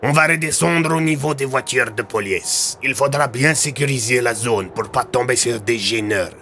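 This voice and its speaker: A man voicing a menacing, mocking gang boss with a gravelly voice in an audio drama. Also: medium pitch, normal speed, pushed and bright, pitched above the performer's own voice. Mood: commanding. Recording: reverberant cave effect, music behind.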